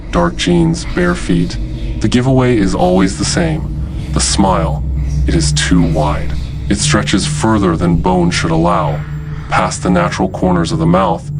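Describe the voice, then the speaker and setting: Guy doing a deep grim army alert announcer for horror broadcast, ominous n deliberate, music under it. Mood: grave, urgent. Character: grave male military emergency announcer warning of uncanny threat. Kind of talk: scripted horror narration as emergency broadcast.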